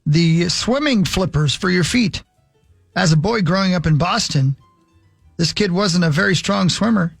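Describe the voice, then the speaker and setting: Radio host guy, highish gravelly voice, casual banter, bit of music behind. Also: measured pace, no echo. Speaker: morning radio show host.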